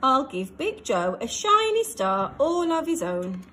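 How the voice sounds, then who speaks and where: A woman plays a little girl rocket from a storybook, eager and stubborn. The voice is high and bouncy, slow and emphatic, full of determination. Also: sing-song, fairly bright tone, slight background noise, heavily acted. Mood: delighted. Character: small, eager, stubborn young female rocket.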